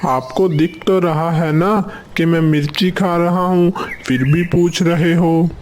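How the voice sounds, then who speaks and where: A man's voice: on the high side, fairly even intonation, slow pace, darkish tone, a little hiss or hum. A man voicing a rude, sarcastic parrot in a children's cartoon, with a curt manner. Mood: irritated. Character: rude, snappy male parrot. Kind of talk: Hindi children's cartoon story dialogue.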